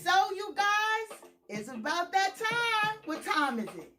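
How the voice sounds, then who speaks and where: Very high, animated woman hosting children's storytime. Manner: theatrical, emphatic, with drawn-out phrases and repetition. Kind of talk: lively children's read-aloud with singsong greetings.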